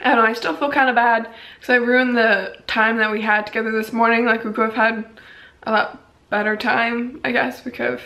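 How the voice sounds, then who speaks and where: A young woman talking casually and candidly in a personal daily vlog, rambling a little and hoarse from a long day of talking. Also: a little high, steady tone, fairly quick, bright, forceful tone. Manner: rambling, candid, self-deprecating. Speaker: vlogger.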